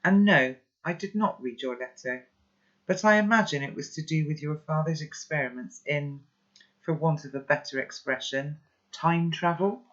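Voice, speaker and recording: A reader voicing a calm, wise elderly grandfather who speaks slowly and thoughtfully, in a slightly muffled recording. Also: mid-range voice, lightly acted. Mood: calm, gently wise. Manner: slow, measured, philosophical.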